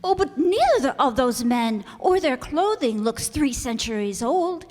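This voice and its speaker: An actress playing a curious, innocent, excitable young daughter in a radio play, full of eager exclamations. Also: female voice, sits high, a little slow. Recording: echoey hall stage recording.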